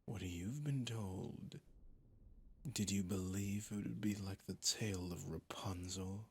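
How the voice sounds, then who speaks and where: Ancient vampire captor, male voice actor, ASMR audio drama. Very unhurried, creaky, whispery delivery; sadistic, mocking, theatrical. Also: low-pitched, a little mellow, exaggerated voice. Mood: condescending. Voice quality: breathy, gravelly. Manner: slow, theatrical, condescending, often dropping to a whisper.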